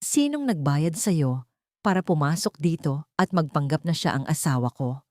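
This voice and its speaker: A woman's voice: low-pitched, animated, normal speed, a little mellow, dry recording, clean studio sound. Menacing, accusing Filipino father voiced by a woman. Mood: menacing anger. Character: angry, suspicious, grieving wealthy father.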